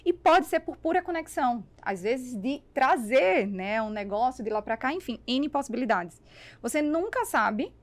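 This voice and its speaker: Podcast guest: Brazilian woman, higher-pitched, conversational storyteller, close studio mic. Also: expressive, moderate pace. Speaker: podcast guest, business networker. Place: small foam-treated podcast studio. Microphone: close podcast microphone on boom arm.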